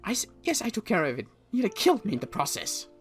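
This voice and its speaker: A man's voice: high voice, wildly swooping pitch, quick pace. A man playing an earnest, flustered sniper in a game roleplay, stammering as he respectfully protests to his superior. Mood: nervous reporting. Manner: stammering, deferential but protesting. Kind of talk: improvised video game roleplay. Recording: music behind the voice.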